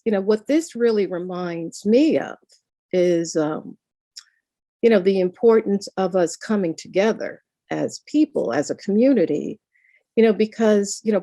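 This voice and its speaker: A woman tells a personal story at a community meeting, earnest and filling pauses with "you know". Her tone is warm and mellow. The sound is dry and close, as on a video call. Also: mid-range voice, expressive, dry recording. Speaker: student speaker at a town hall.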